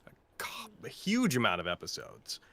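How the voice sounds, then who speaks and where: A man's voice: fairly low pitch, wildly swooping pitch, moderate pace, vocal fry, a bit bright. A man chatting casually and sincerely on a live Q&A, full of heartfelt praise. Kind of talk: casual, earnest chat and reading aloud.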